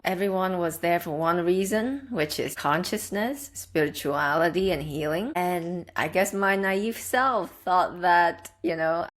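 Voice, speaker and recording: Earnest woman with a lowish voice. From Czech Republic. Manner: earnest, reflective, conversational. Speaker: guest. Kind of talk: personal storytelling.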